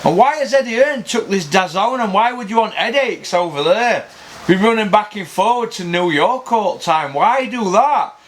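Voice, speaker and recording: A man's voice: sits high, animated, moderate pace, a bit bright, slight background noise. A man hosting his own boxing talk show, ranting bluntly with lots of swearing and rhetorical questions. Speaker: boxing pundit host. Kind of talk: opinionated casual rant.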